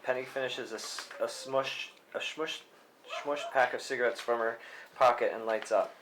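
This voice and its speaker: A man's voice: mid-range voice, steady tone, normal speed, a bit bright, slight background noise, low-quality recording. A man narrating scene headings and action lines from a screenplay at a table read. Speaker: stage-direction narrator.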